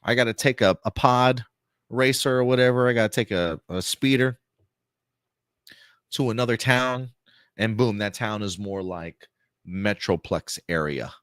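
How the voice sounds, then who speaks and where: Male host, low-ish voice, dry close mic, chatty and loose, slightly hoarse. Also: a little slow, fairly bright tone, dry recording. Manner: chatty, loose, lots of fillers and repeats. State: voice getting hoarse. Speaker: host of a pop-culture news show. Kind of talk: live-stream casual commentary.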